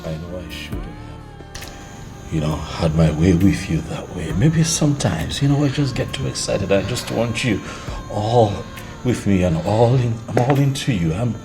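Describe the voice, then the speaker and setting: An actor playing a remorseful young king softly pleading with his wife. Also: deep voice, exaggerated voice. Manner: soft, pleading.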